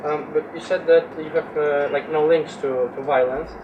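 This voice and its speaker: A man's voice: fairly high pitch, steady tone, moderate pace, dull audio. A man in the audience of a panel discussion, asking hesitant questions that he restarts as he goes. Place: room at a festival discussion. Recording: noisy, distant microphone.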